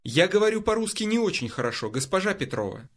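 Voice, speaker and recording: A man voices the learner's replies in a language course, repeating each answer carefully. His voice is fairly high for a man and moves expressively across the phrases. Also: very animated. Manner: careful, measured repetition. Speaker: model speaker in language drills. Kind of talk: repeated drill responses.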